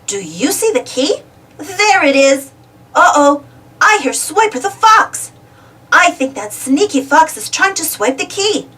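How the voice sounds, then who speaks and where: Lady doing a cheerful little girl explorer for a kids storybook, super high and bright, asks you stuff. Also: very animated, unhurried, recorded from a distance, full character voice. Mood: eager, alert. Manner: exclamatory, asks the listener questions. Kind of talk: children's storybook read-aloud.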